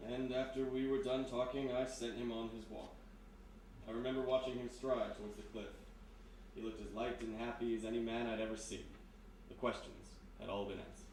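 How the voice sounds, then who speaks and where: Male actor as menacing hypnotist, soft-spoken, courteous, measured, radio drama style, echoey room, noisy distant mic. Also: mid-range voice, little pitch movement, natural voice. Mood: calm, confiding. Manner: measured, insinuating, polite, deliberate. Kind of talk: live 1940s-style radio mystery acting. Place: live performance in a historic house room. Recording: roomy distant live recording, background noise.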